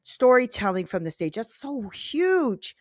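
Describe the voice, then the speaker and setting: Woman, podcast host, upbeat, enthusiastic, fairly high voice, dry close sound. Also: very animated, a little slow, dry recording. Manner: upbeat and enthusiastic, talks to listeners as y'all. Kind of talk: lively interview chat.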